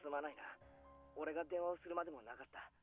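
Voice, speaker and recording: Brusque teenage boy, very rapid speech, troubled, visual novel acting, thin phone-filtered sound, music behind. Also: fairly high pitch, narrow, tinny audio. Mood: subdued, apologetic. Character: agitated teenage boy, brusque, apologetic, guilt-ridden.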